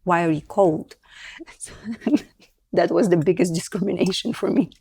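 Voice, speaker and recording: Woman from siberia on a podcast, lowish voice, dry close sound, frank. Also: unhurried, creaky, darkish tone, husky, no room sound. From Siberia, Russia. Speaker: podcast co-host, former model. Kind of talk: casual chat.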